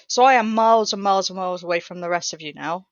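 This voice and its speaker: A woman's voice: on the low side, fast, no room sound, lo-fi sound. A woman in her thirties co-hosting a podcast, rambling and wry in casual chat. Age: 30s. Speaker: podcast co-host.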